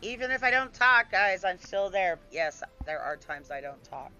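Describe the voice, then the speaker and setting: A woman streamer, her voice hoarse from illness, chats loosely with her audience and her gaming partner. The stream audio is slightly dull, with faint music underneath. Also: medium pitch, lively intonation, moderate pace, bright, forceful tone. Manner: chatty, talks to viewers and co-player. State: hoarse, recovering lost voice. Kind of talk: casual chat while gaming.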